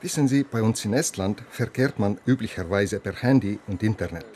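A man acting as a proud Estonian policeman in a radio play. His voice is fairly low, his delivery formal and slightly condescending, with faint noise behind him. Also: brisk, darkish tone, natural voice. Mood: cool, proud. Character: proud, matter-of-fact Estonian policeman questioning a visitor. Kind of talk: German radio crime drama dialogue.

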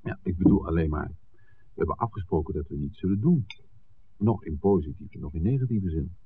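A man's voice: sits low, lively intonation, normal speed. An actor playing a wry, cautious male filmmaker in a 1960s Dutch radio drama. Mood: cautioning. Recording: dull, noisy vintage 1969 radio recording.

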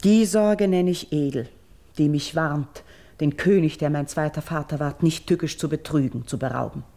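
An actress playing a gentle, troubled priestess of Greek myth in a classical verse radio drama, speaking solemnly and lyrically. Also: female voice, low voice. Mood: troubled, steadfast. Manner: solemn, lyrical, deliberate stage diction.

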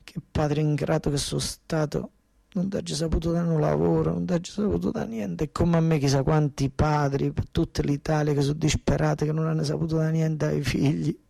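A man's voice: on the high side, steady tone, fairly quick, gravelly, warm tone, no echo, pitched above the performer's own voice. An older southern Italian father played by a male stage storyteller laments in despair. His voice is lifted well up and recorded dry. He reproaches himself in insistent, repeated phrases, in the manner of a theatre monologue. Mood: anguished lament.

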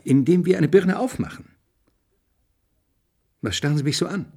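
An actor playing a sceptical scientist in a radio drama, speaking in a sardonic, provocative way with a husky voice. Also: male voice, a little high, expressive, normal speed, dark tone, exaggerated voice. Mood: sardonic, scheming. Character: sceptical, caustic male scientist, sardonic and combative. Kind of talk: German radio drama acting.

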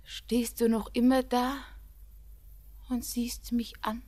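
An actress playing a devoted, despairing young bride in a 1940s radio drama, with halting, fervent, lyrical delivery. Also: female voice, a little high, flat delivery, mellow. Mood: despairing, ashamed.

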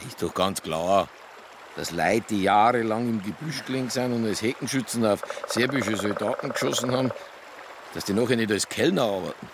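An actor playing a grumpy, reluctant Bavarian police detective, blunt and grumbling, with a Bavarian accent in a radio drama. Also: male voice, lowish voice, brisk, very noisy, close to an everyday voice. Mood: dismissive, grumbling. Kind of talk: naturalistic radio drama acting.

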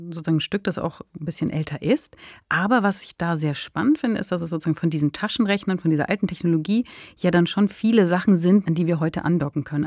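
A woman's voice: on the low side, darkish tone, dry recording, clean studio sound. A woman co-hosting a podcast, talking analytically and eagerly in loose, conversational explanations. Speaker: podcast co-host.

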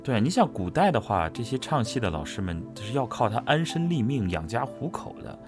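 A man's voice: mid-range voice, big pitch swings, fairly quick. A man from Henan chatting casually as a host about an audio drama, often repeating and rephrasing for emphasis. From Henan, China. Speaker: host and voice actor. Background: audio drama and music playing.

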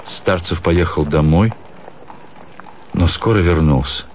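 A man narrating a literary story for radio, with expressive, measured dramatic phrasing. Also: sits low, a little hiss or hum. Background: music.

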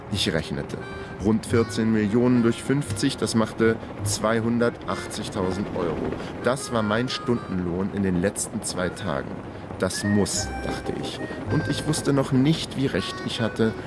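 Low-voiced, wry crime drama narrator. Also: male voice, animated, unhurried, over loud music. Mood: wry, weary. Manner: dry, ironic. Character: world-weary, wry male investigator hero. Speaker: narrator and lead character. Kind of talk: first-person crime radio drama narration.

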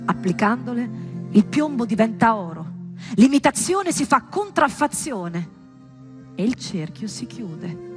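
A woman's voice: fairly high pitch, sing-song. Woman's dramatic monologue over music. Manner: dramatic, deliberate pauses and emphatic delivery. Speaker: stage performer. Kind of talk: theatrical monologue storytelling, scripted narration.

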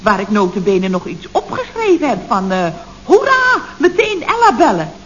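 A woman's voice: a little high, huge pitch swings, normal speed. An actress playing a chatty, dryly witty female office secretary in a vintage radio comedy, with a theatrical, sarcastic delivery. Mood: gushing, chatty. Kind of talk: 1970s radio comedy acting. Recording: muffled, noisy old radio recording.

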